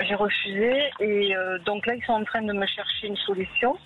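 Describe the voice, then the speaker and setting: A woman's voice: mid-range voice, fairly even intonation, normal speed. A woman of around sixty from the Toulouse region, with a light southern French accent, calling in by phone and earnestly explaining a work problem. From Toulouse region, France. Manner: earnest, hesitant, explaining a grievance. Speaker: caller. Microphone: phone line. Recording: dull phone line with faint hiss.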